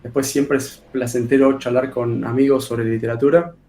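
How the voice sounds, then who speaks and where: A man's voice: medium pitch, flat delivery, normal speed, dark tone. A man with a Rioplatense Spanish accent, an author talking casually in an interview, colloquial, with many filler sounds and restarts. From Argentina. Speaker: author guest.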